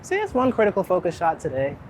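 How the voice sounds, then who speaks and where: A man's voice: sits high, wildly swooping pitch, fast talker, low-quality recording. A man talks casually as he walks through a city. He is reflective and rambling, often dropping a thought halfway to start another. Light urban noise and passing vehicles sit behind his voice. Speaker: street photographer being interviewed. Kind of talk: casual conversation while out walking. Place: outdoors on a busy city street. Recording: outdoor city street noise, traffic.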